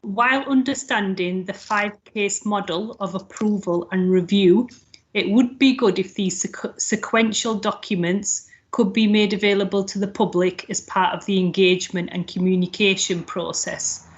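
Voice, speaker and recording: A woman chairing an online council meeting, reading out questions in a measured way with some fillers. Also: mid-range voice, fairly even intonation. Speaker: panel chair. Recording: dull lo-fi video call audio.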